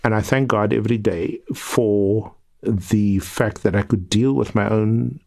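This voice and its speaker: A man's voice: on the low side, fairly even intonation, a little slow, gravelly, darkish tone. A middle-aged South African man, a guest professor, telling his story in a reflective, earnest way with frequent restarts. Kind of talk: podcast interview.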